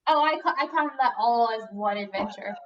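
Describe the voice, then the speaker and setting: A woman's voice: fairly high pitch, normal speed, muffled recording. A woman co-hosting a podcast, chatting casually and interjecting with playful arguments. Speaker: podcast co-host. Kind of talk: casual banter.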